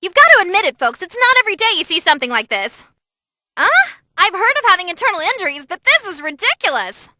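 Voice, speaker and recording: Squeaky, excitable young demon girl announcer. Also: fast talker, a little hiss or hum, heavily acted. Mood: astonished. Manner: bubbly, cheeky, dramatic. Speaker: fight commentator. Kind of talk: animated fight commentary.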